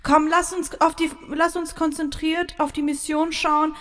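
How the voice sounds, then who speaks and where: A woman co-hosting a podcast, playful and a bit bossy, with short quick reactions. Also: high-pitched, level tone, a bit bright. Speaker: podcast co-host. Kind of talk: casual chat with playful role-play. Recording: reverberant, slight hiss.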